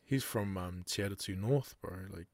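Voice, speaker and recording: A man's voice: deep voice, moderate pace, no room sound, studio quality. A man with a New Zealand accent hosting a podcast, laid-back and casual, laughing often and calling his guest "bro.". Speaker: podcast host. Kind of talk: casual chat.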